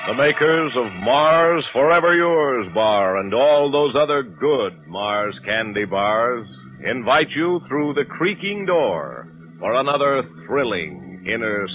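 A man announcing in a polished, emphatic 1940s radio sponsor style. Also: medium pitch. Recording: tinny old radio recording.

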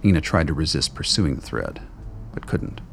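A man's voice: extremely low pitch, very animated, moderate pace, vocal fry, a little hiss or hum. A man reading scripted narration as the narrator of a radio drama.

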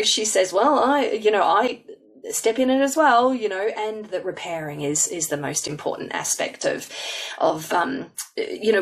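A woman's voice: fairly low pitch, recorded from a distance. A woman hosting a podcast, introducing her guest in a casual, rambling way with many fillers and restarts. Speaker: podcast host.

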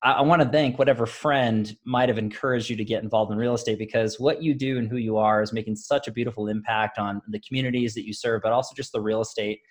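A man's voice: lowish voice, steady tone, quick pace, few pauses. Upbeat guy hosting a live interview show, gushing praise, lots of hmms and wows. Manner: effusive, repeats praise, frequent hmm and wow. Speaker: podcast host.

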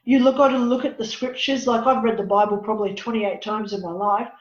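Raspy-voiced woman in her fifties. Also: fairly high pitch, steady tone, brisk. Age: 50s. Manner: emphatic, preachy, repeats "you know". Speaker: interview guest, life coach. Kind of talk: earnest personal conversation. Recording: echoey, dull lo-fi audio.

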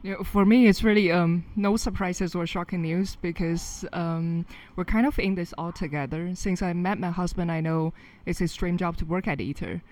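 A woman from China speaks as an interview guest. She sounds calm and matter-of-fact in a casual conversation. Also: fairly low pitch, moderate pace, few pauses.

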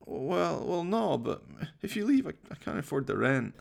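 A man's voice: fairly high pitch, big pitch swings, moderate pace, vocal fry, pitched above the performer's own voice, full character voice. A man voicing a hapless slacker in a comic radio play, whiny and pleading, stammering and feeling sorry for himself. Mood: nervous, pleading. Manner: stammering, pleading, self-pitying.

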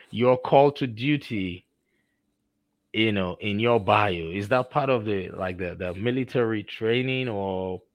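A man speaks with a Nigerian accent, hosting a conversational interview show. His voice sits a little low, and he talks effusively, pausing often on fillers as he goes. The sound is dry and close. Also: no echo. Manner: effusive, halting with frequent fillers. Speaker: podcast host.

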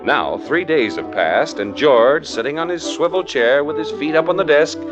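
A man's voice: a little high, lively intonation, normal speed, lightly acted. A man narrating as a 1940s radio announcer, with polished, dramatic scripted delivery. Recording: dull old radio recording with music.

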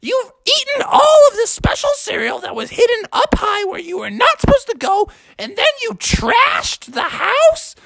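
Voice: very high-pitched, wildly swooping pitch, measured pace, vocal fry, few pauses, a bit bright, pitched above the performer's own voice, full character voice, low-quality recording. A performer voicing an exasperated frog mother in a children's story, scolding shrilly and sarcastically. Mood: outraged.

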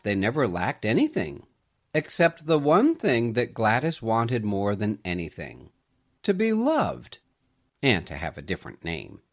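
A man reading a scripted Christmas story aloud in an expressive storytelling manner. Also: medium pitch. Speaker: storyteller, podcast host.

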